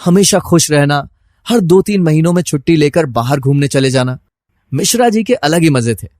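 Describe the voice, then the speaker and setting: A man's voice: fairly high pitch, expressive, normal speed, darkish tone, dry recording, studio quality, lightly acted. A man acting as a troubled, suspicious husband who narrates his own story in a dramatized first-person style. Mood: nostalgic.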